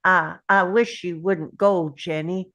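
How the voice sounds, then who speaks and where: A woman at a table read playing a simple, earnest, gentle young man in love, speaking slowly. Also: lowish voice, fairly even intonation. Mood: pleading.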